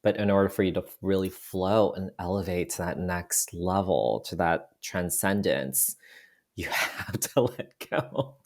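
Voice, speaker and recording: Deep creaky-voiced guy, cantonese is his first language, musing thoughtfully on a podcast, lots of you-knows. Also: measured pace. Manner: thoughtful, frequent restarts and "you know". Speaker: podcast guest, photographer. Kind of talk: reflective casual conversation.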